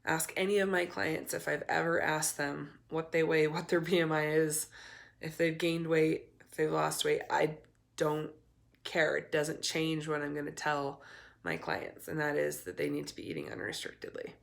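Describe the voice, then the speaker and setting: A young adult woman, hoarse and raspy from a cold, talking candidly to camera as a recovery coach, with many ums and restarts. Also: sits low, normal speed. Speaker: eating disorder recovery coach. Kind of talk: casual talk to camera.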